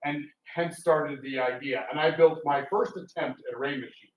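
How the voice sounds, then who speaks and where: Higher-pitched man, chatty, in a roomy space. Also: normal speed, lo-fi sound. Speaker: radio theatre performer giving a public talk. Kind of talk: casual audience talk and Q&A. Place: indoor public talk room. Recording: roomy, distant mic with background noise.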